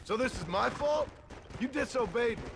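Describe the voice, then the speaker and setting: Stern frontier father, gruff American Western drawl, high-set gravelly voice, video game drama, noisy ambience behind. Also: expressive, moderate pace, exaggerated voice. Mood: scolding, stern. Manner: gruff, blunt. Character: stern, weary Old West ex-outlaw father, gruff but protective. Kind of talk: video game cutscene acting.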